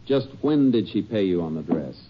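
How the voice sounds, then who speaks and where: Deep-voiced steady Texas lawman. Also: moderate pace, noisy background. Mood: firm. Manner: steady, clipped commands. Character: calm, firm male Texas Ranger, first-person narrator. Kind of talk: 1950s radio drama acting and narration. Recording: dull old radio recording.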